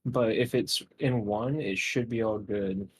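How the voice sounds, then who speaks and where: A man with a husky voice answers in short, matter-of-fact bits while helping with a live stream over a call. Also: on the low side, fairly even intonation, a little slow, dry recording. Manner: brief, matter-of-fact replies. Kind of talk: casual chat.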